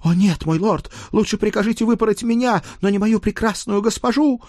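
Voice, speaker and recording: A man's voice: fairly high pitch, big pitch swings, brisk, darkish tone, dry recording, clean studio sound, voice pushed up. A man voicing a young, lovesick page boy in an audiobook, earnest, servile and melodramatically pleading. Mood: pathetic, fervent. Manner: pleading, overwrought, breathless eagerness. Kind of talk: audiobook character acting.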